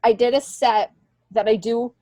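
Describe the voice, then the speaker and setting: A middle-aged woman talking casually and candidly as an interview guest, telling stories in an animated way and acting out bits of dialogue. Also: on the high side, moderate pace, no room sound, studio quality. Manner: animated storytelling, acts out remembered dialogue. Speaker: comedian and TV worker, podcast guest.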